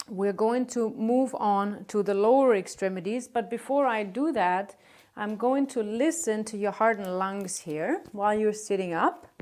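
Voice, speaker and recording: A woman conducting a medical exam, giving calm, polite step-by-step instructions with short acknowledgements. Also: mid-range voice.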